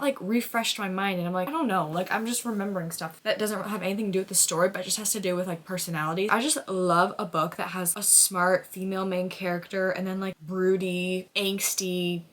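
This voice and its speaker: A young adult American woman chatting casually in a reading vlog, with an expressive, gushing fangirl delivery and frequent use of "like". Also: mid-range voice, a little fast, few pauses. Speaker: book vlogger.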